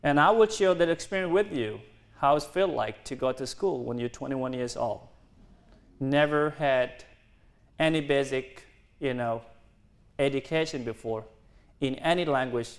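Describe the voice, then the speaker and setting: A young man in his twenties, originally from South Sudan with Dinka as his first language, addresses a university audience. He speaks earnestly in careful, halting English, often restarting his sentences. His voice sits at an ordinary middle pitch. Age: 20s. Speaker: guest speaker. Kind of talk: university lecture.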